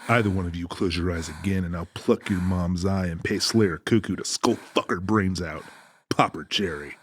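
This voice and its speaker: A man playing a grim, vengeful, commanding figure with a gravelly, husky voice in an audiobook thriller. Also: low-pitched, moderate pace. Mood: grim menace. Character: vengeful, menacing man hiring a torturer. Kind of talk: dramatized audiobook character acting.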